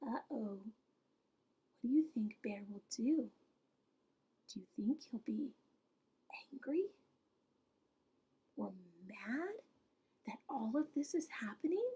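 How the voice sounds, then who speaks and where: Slow, animated, high-voiced woman reading a storybook. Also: slightly rough. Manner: animated, slow, with questions to young listeners. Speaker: preschool teacher reading a picture book aloud. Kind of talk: expressive children's storytime read-aloud.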